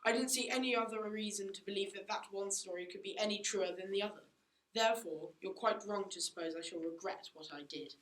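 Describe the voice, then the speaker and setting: A woman's voice: mid-range voice, brisk, fairly bright tone, lightly acted. A teenage girl playing a haughty, self-righteous upper-class mother in a school radio play, with a dismissive, indignant manner. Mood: defensive. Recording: echoey room.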